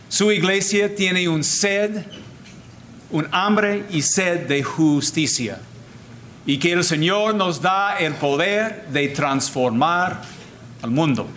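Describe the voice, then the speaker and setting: An older man, a Jesuit priest whose first language is English, giving a deliberate, formal talk with frequent restarts. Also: a little high, fairly bright tone, slight background noise. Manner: deliberate, repeats and restarts words, switches to English phrases. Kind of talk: slow formal lecture.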